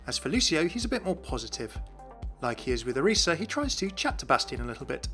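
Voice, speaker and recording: A man's voice: fairly high pitch, big pitch swings, fast talker, music in the background. A man giving an explanatory voice-over as the host of a game lore series.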